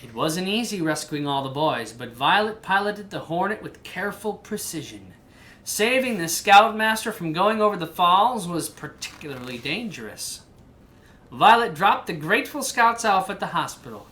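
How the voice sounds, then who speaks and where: A woman reading a children's storybook aloud in an animated style. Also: lowish voice, normal speed. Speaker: storybook reader.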